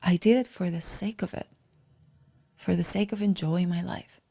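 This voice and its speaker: A woman from the Dominican Republic giving a motivational solo podcast talk as a coach, speaking emphatically and deliberately, repeating phrases for emphasis. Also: on the low side, steady tone, gravelly, dark tone, husky.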